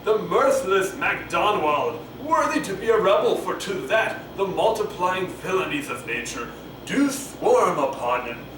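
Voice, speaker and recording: A bloodied soldier, acted out by a man, declaims a grand battle report in a high, dramatic stage voice. It is recorded from a distance in an echoey, noisy room. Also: very animated, a little slow. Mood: declamatory, dramatic. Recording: echoey, noisy camcorder audio from far off.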